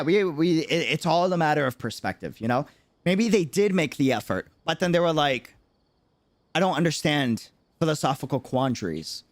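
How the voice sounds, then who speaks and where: A man's voice: mid-range voice, no room sound. A man giving casual livestream commentary on a game, talking earnestly and conversationally, explaining things by example.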